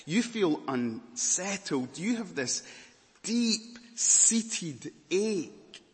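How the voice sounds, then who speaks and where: A Scottish man preaching a sermon, earnest, moving between emphatic lines and hushed, slower ones. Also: sits high, sing-song, in a large hall. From Scotland.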